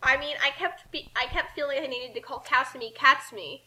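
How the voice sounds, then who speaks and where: A woman reading a story aloud in a theatrical, animated way and chatting casually about it as commentator. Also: high voice, a little fast. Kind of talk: dramatic fan fiction reading with casual commentary.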